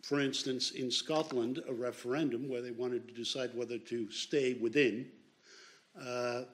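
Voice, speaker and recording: Slow-speaking Austrian man in the audience. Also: medium pitch, fairly even intonation, slight background noise. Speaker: audience member asking a question. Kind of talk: audience question.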